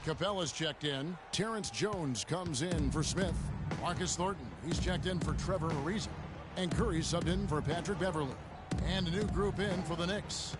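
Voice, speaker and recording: A man's voice: a little high, moderate pace, close to an everyday voice. An American man calling a basketball game as play-by-play commentator, in a video game broadcast. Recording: loud music and game sounds behind. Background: music, bouncing basketball, arena sounds.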